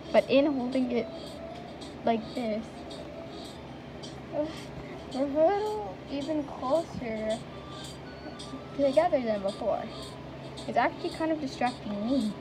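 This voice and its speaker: A woman reading game narration aloud in a casual way, quick and stumbling over words. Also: high voice. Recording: noisy home recording.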